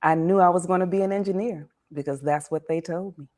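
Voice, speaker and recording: A woman in her forties from Washington, D.C., talks through her story as an interview guest. Her voice sits fairly low, and she speaks in a measured, reflective way, letting many sentences settle softly at the end. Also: fairly even intonation.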